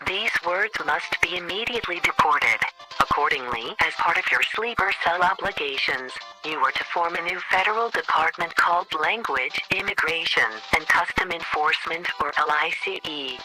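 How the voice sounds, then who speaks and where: A man playing an officious government handler who delivers a scripted satirical announcement in a formal, deadpan, bureaucratic manner. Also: fairly high pitch, normal speed, soft background music, rough recording. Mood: official, deadpan. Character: officious government handler leaving absurd sleeper cell orders.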